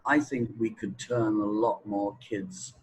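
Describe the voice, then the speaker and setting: A man speaks at a slow, deliberate pace over faint background noise. He gives his opinions conversationally, as an expert being interviewed, pausing often as he goes. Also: lowish voice, fairly even intonation, rough recording.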